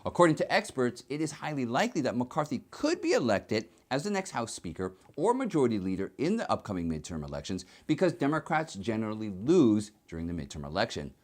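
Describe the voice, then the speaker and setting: Man delivering news commentary, widely swinging pitch. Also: huge pitch swings, fairly quick, few pauses, a little mellow. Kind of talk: scripted political news commentary.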